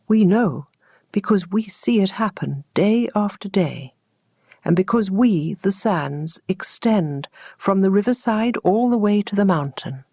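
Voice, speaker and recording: A woman voicing the mysterious desert sands in a storybook tale, calm, patient and certain as they instruct. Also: mid-range voice, unhurried, slightly rough, no echo, clean studio sound. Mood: calm, knowing.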